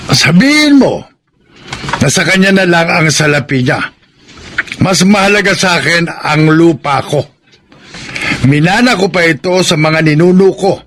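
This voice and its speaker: A man's voice: fairly high pitch, animated, unhurried, pauses often, a bit bright, a little hiss or hum. An actor playing a proud, principled old Filipino farmer and grandfather in a radio drama, firm and admonishing. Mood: stern, defiant.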